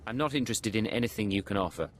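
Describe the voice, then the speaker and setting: A man's voice: fairly low pitch, lively intonation, very fast, a little hiss or hum, lightly acted. An actor playing a terse, guarded male secret agent in a video game. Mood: cold, dismissive. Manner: curt, guarded. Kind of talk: video game dramatic acting.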